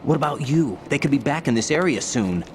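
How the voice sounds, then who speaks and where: A man's voice: medium pitch, expressive, a little fast, a little hiss or hum, lightly acted. A man playing an earnest, unsure young rookie agent in a video game cutscene, with a questioning manner. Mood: puzzled, concerned.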